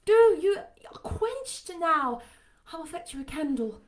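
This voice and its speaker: An earnest young governess in a storybook, played by a woman reader. Her voice is high and urgent, polite but firmly insistent. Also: very animated, measured pace, full character voice. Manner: urgent, pleading, emphatic.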